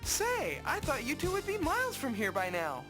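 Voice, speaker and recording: A woman's voice: high voice, wildly swooping pitch, normal speed, music in the background. A woman voicing an earnest, brave young apprentice hero in a video game, polite and resolute. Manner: earnest, formal. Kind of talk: video game cutscene acting.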